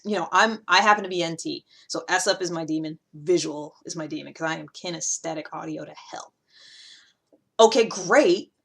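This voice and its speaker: A woman with a fairly low voice talks straight to her viewers as a video host. Her delivery is informal and conversational, wandering as she thinks aloud, with a self-deprecating streak. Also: fairly bright tone. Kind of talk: casual personality-type monologue to viewers.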